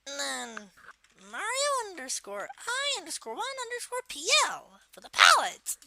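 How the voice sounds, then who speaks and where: A woman voicing a cheeky cartoon villain kid, giving a software tutorial in a casual, halting way with filler words. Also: very high-pitched, wildly swooping pitch, gravelly, slight background noise, heavily acted. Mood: casual, explaining. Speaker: tutorial presenter.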